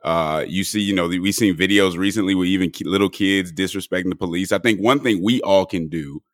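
A man hosting a podcast in relaxed conversational talk, his voice a little hoarse and cracking. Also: sits low, brisk, dry recording, studio quality. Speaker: podcast host. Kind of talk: casual podcast chat.

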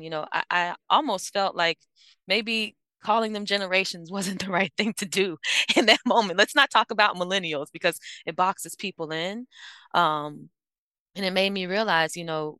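A woman in her thirties from the United States, guesting as an I-O psychologist, speaks in a bright, very crisp voice with a slightly low pitch. She reflects aloud, pausing on fillers and correcting herself as she goes. The sound is dry and close. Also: no room sound. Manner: thoughtful, with frequent fillers and self-corrections. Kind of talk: reflective interview answers.

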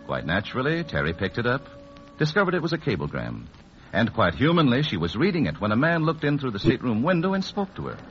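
A man's voice: mid-range voice, huge pitch swings, quick pace. A man narrating as a 1940s radio announcer, with theatrical storytelling delivery. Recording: muffled old radio broadcast. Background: loud music.

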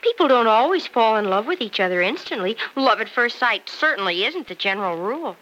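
An actress playing a romantic young married confidante, gently probing and playful, in old-time radio soap style. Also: female voice, on the high side, sing-song, brisk, muffled recording. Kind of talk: 1940s-50s radio soap acting. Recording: faintly noisy old radio transcription.

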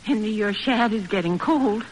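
An actress playing a gentle, placating middle-aged mother in a soothing radio drama style. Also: mid-range voice, normal speed, lightly acted. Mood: placating, pleading. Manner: soothing, domestic, pleading. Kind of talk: classic American radio drama acting. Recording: old radio recording, reverberant, distant.

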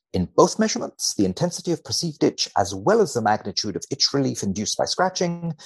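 A man speaking as a researcher guest on a podcast, commenting and reading in measured, formal wording. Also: medium pitch, slightly rough, no echo. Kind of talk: conversational commentary and reading aloud from a study.

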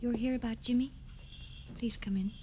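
A woman playing a soft-spoken, wistful young woman in mourning, subdued, in an old radio drama. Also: on the high side, fairly even intonation, moderate pace, close to an everyday voice. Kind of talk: 1950s radio drama acting.

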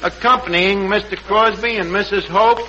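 An actor playing a brash, wisecracking tavern manager with a New York accent and slangy malapropisms in 1940s radio comedy. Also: male voice, high voice, steady tone, normal speed, natural voice. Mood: cajoling. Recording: noisy muffled old radio broadcast.

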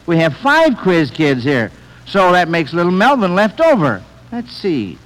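A man with a high-pitched voice hosts a vintage radio quiz show. He talks to children in a folksy, chatty manner, often halting and repeating himself as he goes. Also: wildly swooping pitch, a bit bright, low-quality recording. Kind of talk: old-time radio quiz show hosting, addressing children.

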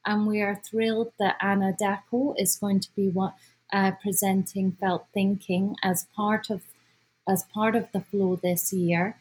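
A Scottish woman gives an informal presentation as an event organizer. Her voice is husky and sits at a medium pitch. She speaks at a slow pace, haltingly, often searching for the next word. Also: flat delivery, darkish tone, low-quality recording. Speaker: symposium organizer, guest speaker.

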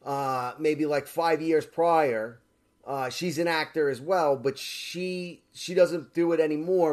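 Man, Brooklyn accent, young adult, rambling, lots of fillers, slightly high voice. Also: darkish tone. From Brooklyn, New York. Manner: rambling, with many fillers and restarts. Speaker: actor and comedian guest. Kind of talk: casual interview chat.

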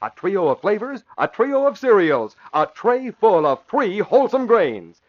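An American male announcer from the golden age of radio. His fairly high voice swings widely in pitch as he declaims with dramatic emphasis. The sound is muffled, like a vintage broadcast. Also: very animated, measured pace. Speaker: announcer and narrator. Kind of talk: 1940s radio announcing, dramatic scripted narration.

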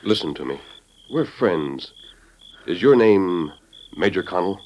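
A man playing a steady, kindly masked hero in an old radio western, with firm, reassuring authority. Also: low-pitched, slow. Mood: earnest, intent. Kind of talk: 1950s radio western acting. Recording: dull, noisy old radio recording.

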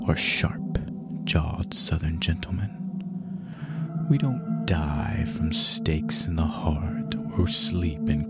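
A man voicing a bitter, world-weary vampire who narrates a horror story in a breathy, gravelly rasp with dramatic delivery. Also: very deep voice, pitched below the performer's own voice, exaggerated voice. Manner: slow, brooding, dramatic delivery. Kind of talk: dramatic reading of horror fiction. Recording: loud music bed underneath.

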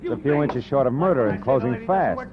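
An actor playing a wisecracking, tough private detective with a dry manner. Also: male voice, medium pitch, animated, fairly quick, a loud crowd, lightly acted. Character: hardboiled private detective, wry adult man. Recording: dull lo-fi old radio broadcast with music.

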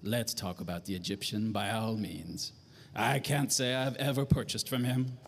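An actor playing a smug, talkative middle-aged nightclub owner with affected refinement, in a 1940s-style noir radio drama. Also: male voice, on the low side, fairly even intonation, normal speed, slight background noise, close to an everyday voice. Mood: amused, evasive. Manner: affectedly refined, loquacious, chuckling.

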